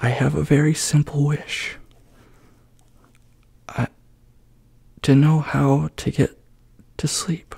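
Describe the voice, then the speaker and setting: A man voicing a polite, sleepless sheep from a bedtime storybook, soft, breathy and plaintive. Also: fairly high pitch, flat delivery, takes it slowly, long pauses, no echo, pitched above the performer's own voice, heavily acted. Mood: politely pleading. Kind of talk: soft-spoken ASMR storybook reading.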